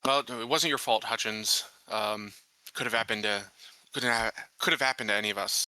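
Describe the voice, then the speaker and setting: Colony sheriff, played by a male gamer: calm, firm, plain-spoken, very bright clean voice, measured and hesitant. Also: on the low side, dry recording, rough recording. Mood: consoling.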